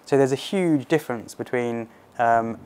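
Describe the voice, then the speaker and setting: Measured British man, clinical and explanatory. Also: mid-range voice, a little mellow, smooth. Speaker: consultant colorectal surgeon. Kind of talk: explanatory interview aimed at doctors.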